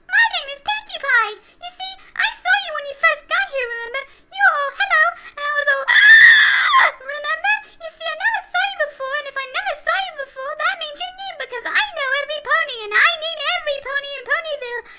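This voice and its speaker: A man voicing a bubbly, hyper little female pony who chatters endlessly in a squeaky, high-pitched falsetto for a satirical children's story. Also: fast talker, few pauses, raised voice, very noisy. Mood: bubbly, excited. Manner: breathless run-on chatter, excitable.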